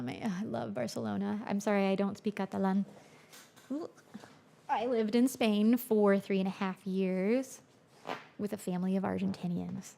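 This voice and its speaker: A woman's voice: mid-range voice, gravelly, a little mellow, slight background noise. A young woman hosting a music livestream from her home studio, chatting casually with viewers and answering their chat, now and then in Spanish.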